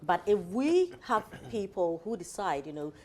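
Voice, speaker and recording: A Ghanaian woman hosting a talk show, chatting in an animated, emphatic, conversational way. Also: medium pitch, unhurried, slight background noise. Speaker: morning show host. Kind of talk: live talk show interview, chatty introduction.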